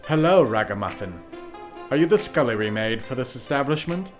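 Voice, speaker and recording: A man playing an arrogant, commanding duke who speaks in a deliberate, imperious way in an audio drama. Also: medium pitch, expressive, soft background music, natural voice. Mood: mocking. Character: arrogant, womanizing nobleman duke, curt and commanding.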